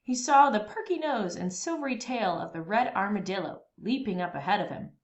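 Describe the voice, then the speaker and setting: A woman reading a children's storybook aloud expressively, with dramatic, deliberate pauses between phrases. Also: mid-range voice, animated, highs cut off.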